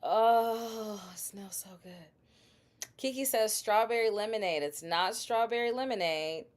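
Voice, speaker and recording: A woman's voice: on the high side, a little slow. A woman hosting a casual live sales stream, talking directly to her viewers and quizzing them on her products. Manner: chatty, addresses viewers directly, asks quiz questions. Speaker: livestream host selling bath products.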